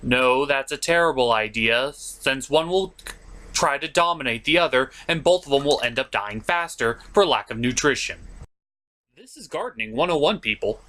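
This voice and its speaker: A man's voice: medium pitch, expressive, normal speed, sharp tone. A man hosting a comedic film review, narrating with theatrical, exaggerated delivery. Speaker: show host and reviewer.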